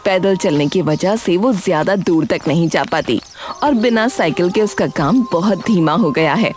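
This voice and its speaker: A woman's voice: lowish voice, normal speed, a little mellow, soft background music. A woman narrating a scripted cartoon story.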